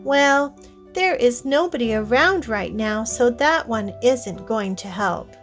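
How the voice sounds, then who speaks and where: Woman voicing a slow-talking, earnest young unicorn girl. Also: fairly high pitch, lively intonation, soft background music. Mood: earnest, self-reminding. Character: friendly young female unicorn, lost, earnest.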